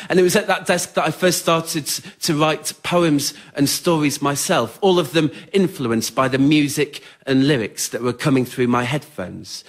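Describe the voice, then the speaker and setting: A young man with an English accent performs scripted autobiographical stories on stage in a wry, understated, measured way. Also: a little high. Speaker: writer-performer of a solo spoken-word show. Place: radio theatre in front of a live audience.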